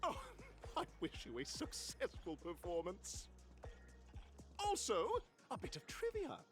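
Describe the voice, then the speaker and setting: An actress playing a showy, grandiose festival host who presents challenges in a video game. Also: female voice, on the low side, huge pitch swings, measured pace. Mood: showy, encouraging. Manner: theatrical, grandiose announcing. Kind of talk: video game character acting. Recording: music behind the voice.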